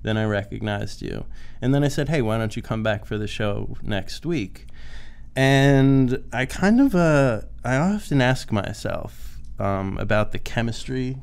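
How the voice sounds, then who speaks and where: A man's voice: mid-range voice, expressive, unhurried, gravelly, a little mellow, clear, resonant tone. A young man hosting a casual radio panel, joking and mocking himself, with frequent fillers. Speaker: host.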